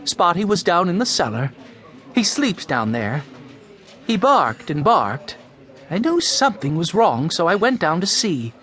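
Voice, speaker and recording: Guy doing a scolding storybook mom, worried and fretful, high lilting voice. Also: very animated, a little slow, fairly bright tone, exaggerated voice. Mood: recounting.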